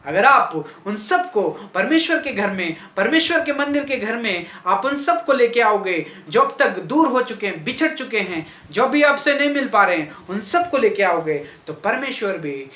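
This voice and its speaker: Fervent high-voiced male preacher, echoey room. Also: sing-song, moderate pace, few pauses, sharp tone. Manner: fervent, repetitive preaching with rising and falling emphasis. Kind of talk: sermon.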